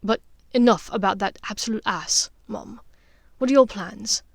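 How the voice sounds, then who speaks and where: A proud, bitter young wizard, acted out by a woman narrator, blunt and sarcastic. He talks in halting, emphatic bursts, close and dry as in an audiobook. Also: medium pitch, creaky, no room sound.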